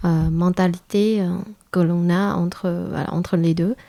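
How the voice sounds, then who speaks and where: Vietnam-born woman, super slow, mellow, dry close sound. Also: lowish voice, fairly even intonation, no echo. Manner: hesitant, searching for words. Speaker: interview guest, restaurant co-founder. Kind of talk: casual interview talk.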